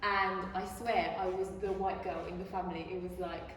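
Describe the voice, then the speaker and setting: A woman's voice: fairly low pitch, level tone, normal speed. A British woman asking a panel a question, chatty and playful as she tells a personal story. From Britain. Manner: chatty, playful, with laughs in her voice. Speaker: audience member asking a question. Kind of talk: casual anecdote and question. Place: large gallery room. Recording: echoey gallery hall from far off, noisy.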